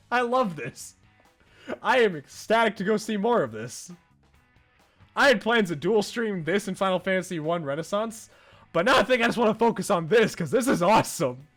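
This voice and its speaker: A man's voice: high voice, animated, moderate pace, long pauses, a bit bright, faint music behind. A man chatting casually on a livestream as a game streamer, enthusiastic and informal in how he talks.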